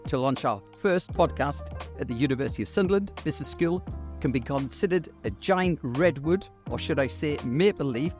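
A man hosting an interview podcast, speaking in formal, measured phrases. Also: fairly high pitch, lively intonation, darkish tone, faint music behind. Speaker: podcast host, university lecturer. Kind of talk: scripted introduction and interview questions.

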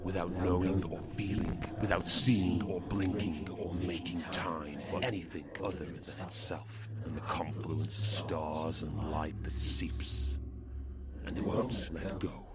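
An actor playing a menacing otherworldly cult voice that intones grandly about doom and rebirth in a horror audio drama. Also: male voice, sits low, fairly even intonation, heavy background noise. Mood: rapt, ominous. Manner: slow, ominous, grandiose intoning. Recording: voice under loud music.

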